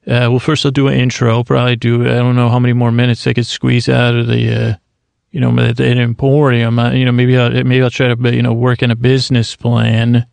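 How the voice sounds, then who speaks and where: A man with a heavily gravelly, fry-laden voice rambles through a bedtime monologue. He stammers, breaks off and wanders into digressions, keeping his pitch fairly flat. The delivery is meant to send listeners off to sleep. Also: no echo. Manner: meandering, halting, stammering, digressive, mumbly self-interruptions. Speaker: podcast host.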